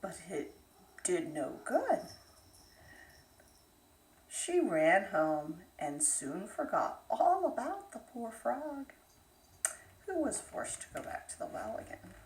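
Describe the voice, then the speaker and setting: A woman narrating a bedtime fairytale aloud, expressively, with dramatic pauses. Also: medium pitch, big pitch swings, a little mellow, a little hiss or hum. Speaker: storyteller. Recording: roomy echo, distant microphone.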